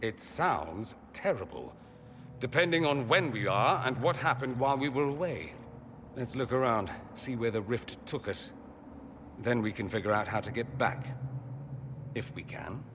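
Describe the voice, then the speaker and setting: A man's voice: mid-range voice, lively intonation, measured pace, fairly bright tone. An actor playing a self-assured, witty male mage scholar in a video game, with a wry, urbane, theatrical manner. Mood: curious deduction. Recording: long hall reverb, clear background noise.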